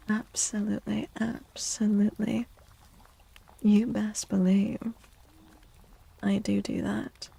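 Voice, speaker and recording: Hushed, breathy ASMR woman with creaky fry. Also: medium pitch. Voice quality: soft, breathy, often slipping into whispers. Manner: slow, hushed, repeats phrases soothingly. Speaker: livestream host, tarot reader. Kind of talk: soft-spoken ASMR livestream chat. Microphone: close microphone in a dry space.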